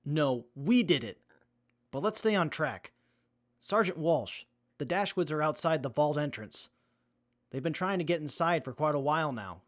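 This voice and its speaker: A man acting as an earnest, capable young survivor with a measured, methodical manner in a post-apocalyptic audio drama. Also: on the high side, animated, close to an everyday voice. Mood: focused.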